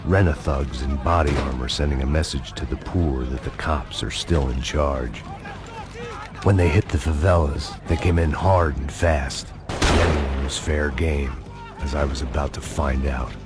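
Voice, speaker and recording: An actor with an American accent playing a weary, cynical middle-aged ex-cop in a game, narrating in a deadpan, sardonic noir voice-over. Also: male voice, extremely low pitch, measured pace, over loud music. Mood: grim, sardonic.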